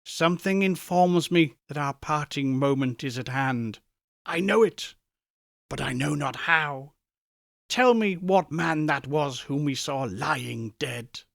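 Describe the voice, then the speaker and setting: A man's voice: on the high side, slow pace, vocal fry, no echo, clean studio sound. A man voicing a frightened old miser who begs a ghost for answers, earnest and beseeching in an audiobook reading. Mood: solemn pleading. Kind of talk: audiobook character voice.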